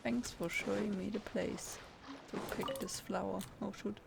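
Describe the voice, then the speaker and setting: Creaky-voiced woman doing game commentary. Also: medium pitch, steady tone, unhurried, heavy background noise. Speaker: game streamer. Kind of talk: casual gameplay commentary.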